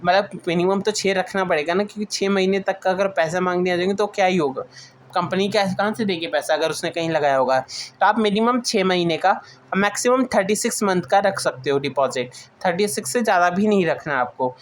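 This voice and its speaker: A woman's voice: fairly low pitch, a little fast, a little hiss or hum. A woman with an Indian accent giving an informal lecture, explaining notes point by point and restating them as she mixes in English terms. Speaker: teacher.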